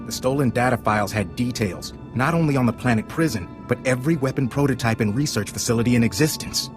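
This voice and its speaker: An actor playing a stern military general in a video game, briefing in a grave, commanding manner. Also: male voice, medium pitch, expressive, quick pace, lightly acted. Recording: music playing behind.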